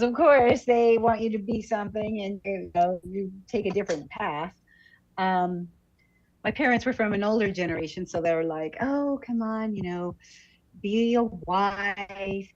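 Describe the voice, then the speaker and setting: A woman's voice: medium pitch, darkish tone. A woman guest on a livestream, telling personal stories in an effusive, conversational way. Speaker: professional actor, guest. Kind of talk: casual livestream chat, personal anecdotes. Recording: dull lo-fi online call audio.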